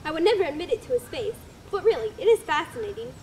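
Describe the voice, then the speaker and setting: A woman's voice: extremely high pitch, lively intonation, fast talker, a little mellow. A woman playing a skeptical male writer from long ago, teasing and formal in an amateur dramatic reading. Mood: wry. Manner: wry, teasing, formal old-fashioned speech. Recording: noisy amateur recording.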